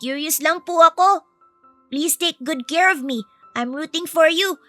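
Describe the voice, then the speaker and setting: A woman's voice: high voice, measured pace, a bit bright, dry recording, faint music behind, pitched above the performer's own voice. A woman voicing a polite, earnest, sweet little boy in a dramatised story.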